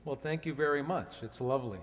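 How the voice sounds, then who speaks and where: A man's voice: fairly high pitch, fairly quick, lightly acted. A man playing an earnest, polite young contestant on an old-time radio comedy quiz, answering literally and deferentially. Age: 20s. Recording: reverberant hall recording.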